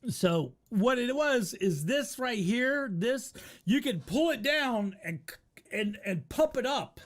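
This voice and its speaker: A man's voice: very high-pitched, animated, slow. A man hosting a casual storytelling stream, speaking conversationally with some swearing and repeating himself for emphasis. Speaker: storyteller and host reacting to a video.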